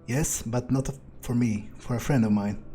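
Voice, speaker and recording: A man playing a game in character, thinking aloud in a halting, musing way with casual swearing. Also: medium pitch, steady tone, dark tone. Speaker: let's player speaking in character. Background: game music.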